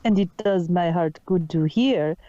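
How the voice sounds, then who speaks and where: A man voicing a kindly, polite adult female zebra healer with a formal, measured manner. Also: sits high, dark tone, no room sound, dull audio, voice pushed up. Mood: warm, courteous.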